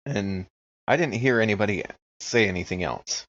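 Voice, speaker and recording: A man's voice: lowish voice, moderate pace, a bit bright, no room sound, lo-fi sound. A man playing in a tabletop game podcast, talking casually and animatedly with the other players.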